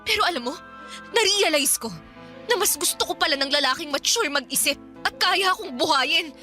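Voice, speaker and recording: Radio drama role: sharp-tongued Filipino young mother, very high, piercingly bright, confrontational and fed up, music faintly behind. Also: animated, a little fast. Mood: scornful, bitter. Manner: confrontational, accusing, nagging. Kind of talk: heated radio drama dialogue.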